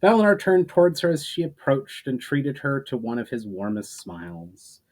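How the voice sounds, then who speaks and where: A man's voice: medium pitch, sing-song, normal speed, darkish tone, low-quality recording. A man speaking as an author who presents and reads his own fantasy novel aloud in a storytelling style. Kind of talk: dramatic reading of a fantasy novel, with informal introduction.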